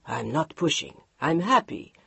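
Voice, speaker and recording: A quiet, controlling husband with a voice as solid as steel, voiced low by a woman reader. The tone is husky and level, recorded close in a dry space. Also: big pitch swings, measured pace, no echo, exaggerated voice. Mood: firm. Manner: low, firm, unbending.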